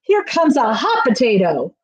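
A woman voicing excitable potato spectators urging on a racer in a children's storybook. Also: a little high, a little slow, husky, exaggerated voice. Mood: urgent. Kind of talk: storybook character voice.

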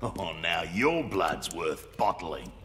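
A cocky Australian mercenary thug, taunting in slang in a low, swinging voice. It is video game banter, with long reverb and music behind it. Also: male voice, sing-song, slow pace, crowd noise, full character voice. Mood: mocking. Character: cocky, greedy male cyborg mercenary thug.

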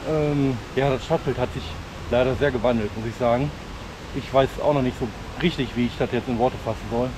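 A man's voice: medium pitch, background noise. A man, chatting casually as he walks through a city on a hiking vlog, talking frankly with fillers and regional slang. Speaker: vlogger, urban hiking guide. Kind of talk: casual walking vlog commentary. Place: outdoors on city streets and parks. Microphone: chest-mounted action camera.

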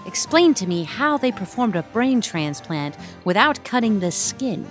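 Woman playing a lively, level-headed adventurer. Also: mid-range voice, unhurried, soft background music. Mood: reasoning. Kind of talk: audio drama acting.

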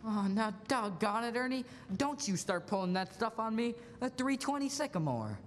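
A man's voice: high voice, sing-song, normal speed, slight background noise. A young man playing a frantic, bewildered family man who stammers, in a live radio play. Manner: agitated, stammering. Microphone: stand microphone on stage. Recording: reverberant school auditorium stage.

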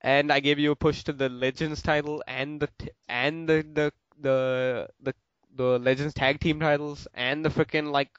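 A man's voice: on the high side, flat delivery, moderate pace, fairly bright tone, clear, resonant tone, dry recording. Young man from India, rambling casual chat, dry close sound, muffled lo-fi recording. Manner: rambling, lots of fillers and restarts. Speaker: host of a Q and A. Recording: dull lo-fi home audio.

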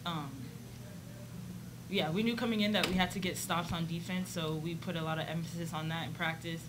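Relaxed young woman at a press desk. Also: fairly low pitch, a little fast, noisy background. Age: young adult. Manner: friendly, relaxed, fillers. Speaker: college basketball player. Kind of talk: postgame press conference answers. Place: press conference room, indoors. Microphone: desk microphone on stand.

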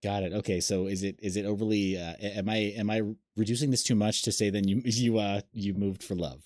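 A man in his thirties hosts an interview over a webcam call. His voice sits low and sounds dry and close. He hedges and restarts his questions as he goes. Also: fairly even intonation, brisk, darkish tone, no room sound. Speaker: host. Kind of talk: casual video call interview. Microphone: webcam on a video call.

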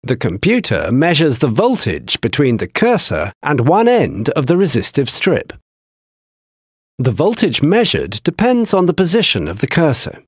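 Husky-voiced man narrating a technical lesson. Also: a little high, huge pitch swings, no room sound, clean studio sound. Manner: measured, explanatory delivery. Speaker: narrator of a training video.

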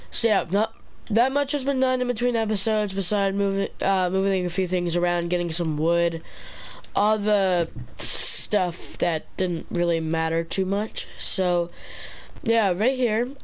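A man's voice: sits high, measured pace, clear, resonant tone. A young man giving casual, rambling commentary while playing, groggy from an early morning and often correcting himself. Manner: rambling, self-correcting, relaxed. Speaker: gaming channel host. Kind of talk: casual let's play commentary.

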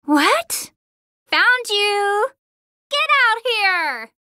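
A woman's voice: extremely high pitch, sing-song, drawn-out words, bright, forceful tone, no echo, studio quality, full character voice. A woman voicing a cocky, spirited young girl fighter in an anime video game, with a girlish, sassy, exclamatory delivery. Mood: fired up. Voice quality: girlish, youthful. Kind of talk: English-dubbed anime video game battle lines.

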